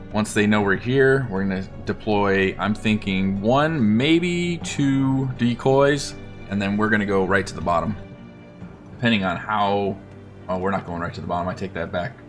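A man giving casual, unhurried gameplay commentary, thinking aloud as he plans. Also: lowish voice, fairly bright tone. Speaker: gaming video host.

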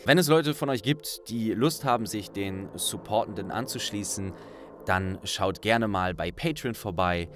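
A man's voice: fairly low pitch, a little slow, fairly bright tone, slight background noise. A man hosting an audiobook project, speaking casually and directly to his listeners. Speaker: audiobook narrator and host. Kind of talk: casual thank-you address to listeners.

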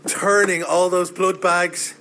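A man voicing a jittery, talkative, foul-mouthed vampire informant in a game cutscene, halting and agitated, with a creaky voice. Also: high voice, flat delivery, bright, forceful tone, slight background noise, exaggerated voice. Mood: bitter, agitated. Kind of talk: video game cutscene acting.

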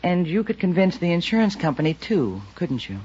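An actress playing a manipulative young widow in an old radio drama. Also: female voice, on the low side, sing-song, a little fast, dark tone, husky. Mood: persuasive. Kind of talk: 1940s radio acting. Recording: dull lo-fi old radio recording.

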